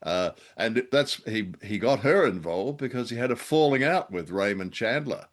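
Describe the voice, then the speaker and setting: A mature man with a low-pitched voice co-hosts a relaxed chat about classic radio drama. He speaks haltingly, with a wry, self-deprecating streak. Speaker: podcast co-host. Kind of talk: casual discussion of old-time radio drama.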